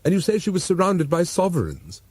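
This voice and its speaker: A man's voice: high voice, huge pitch swings, brisk, breathy edge, no echo, voice pushed up, heavily acted. A man voicing a genteel, placid young pregnant woman, blithely matter-of-fact, in an audiobook. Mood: blithely consoled.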